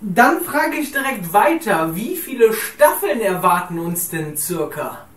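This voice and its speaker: A woman's voice: mid-range voice, very animated, a little slow, roomy sound. A woman hosting casually in a living room, moving things along and putting questions as an interviewer. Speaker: host and interviewer.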